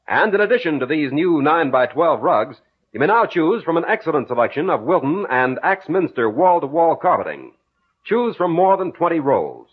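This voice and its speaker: A man's voice: medium pitch, slightly rough. A man announcing in a 1940s radio style, reading sponsor copy in an emphatic, formal salesman's manner. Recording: tinny, lo-fi old radio recording.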